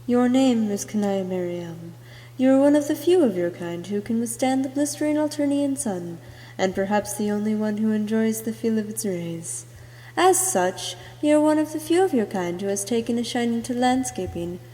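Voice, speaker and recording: Mellow, fairly high woman narrator, echoing. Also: animated, moderate pace. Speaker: amateur voice actor. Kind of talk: scripted character narration for an amateur voice reel. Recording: echoey, long room reverb.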